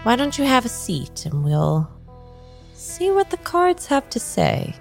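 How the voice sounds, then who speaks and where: A woman playing a calm, welcoming and mysterious tarot reader in an improvised radio drama, with deliberate pauses. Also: a little high, gravelly, faint music behind, heavily acted. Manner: deliberate, enigmatic, pausing between words.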